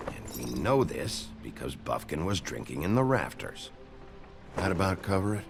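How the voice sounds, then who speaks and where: Very deep, gravelly noir detective. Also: male voice, very animated, normal speed, noisy background, heavily acted. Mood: weary, terse. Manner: blunt, terse. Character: gruff, weary, short-tempered male detective in a noir story. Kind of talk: video game voice acting. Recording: music under the dialogue.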